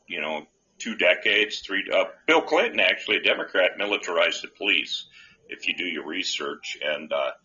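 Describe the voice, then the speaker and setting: A man who hosts a call-in show as a candidate, answering questions in a halting, rambling way full of restarts. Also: low-pitched, bright, forceful tone. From North Dakota. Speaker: senate candidate, show host. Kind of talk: rambling live call-in chat. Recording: dull lo-fi live stream audio.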